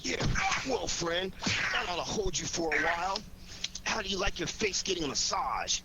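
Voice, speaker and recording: A wisecracking amateur detective from a 1940s radio play. His voice sits a little high and moves around a lot, cocky and slangy. The old recording is clearly noisy. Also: big pitch swings, moderate pace. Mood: gloating.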